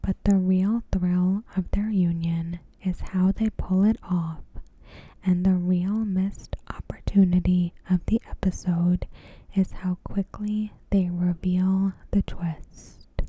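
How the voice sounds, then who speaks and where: A woman doing ASMR commentary in a breathy, hushed whisper, measured and deliberate, close to the microphone. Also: lowish voice, steady tone, lo-fi sound. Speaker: ASMR creator reviewing a TV episode. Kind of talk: ASMR, soft scripted commentary. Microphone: close microphone in a dry space.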